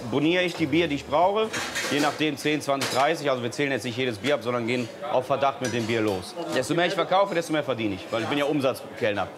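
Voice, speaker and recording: A man from the Rhineland, a beer hall waiter, talking in a brusque, quick, joking way with guests. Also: mid-range voice. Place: busy beer hall with outdoor seating. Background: music and crowd chatter.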